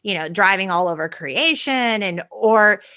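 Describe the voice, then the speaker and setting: A woman's voice: medium pitch, animated, unhurried, bright, forceful tone. Middle-aged woman co-hosting, conversational storyteller. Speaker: podcast co-host. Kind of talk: casual chat.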